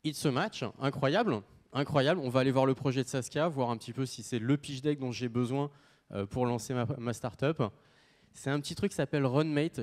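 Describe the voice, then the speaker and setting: A man's voice: mid-range voice, darkish tone. A man in his thirties giving a live product demo on stage, joking casually and with lots of fillers. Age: 30s. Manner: jokey, casual, full of fillers. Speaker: startup pitch presenter.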